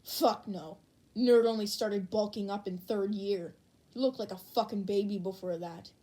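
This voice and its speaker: A woman's voice: mid-range voice, steady tone, normal speed, warm tone, lightly acted. A woman voicing a foul-mouthed, irritable teenage boy in a fan fiction audiobook, with a blunt, snapping delivery. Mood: gruff, dismissive.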